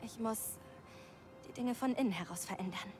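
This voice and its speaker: A woman's voice: on the high side, moderate pace, over loud music, close to an everyday voice. A woman voicing an earnest, driven young female journalist in a dubbed video game. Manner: serious, thoughtful game dialogue. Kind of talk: German game dub.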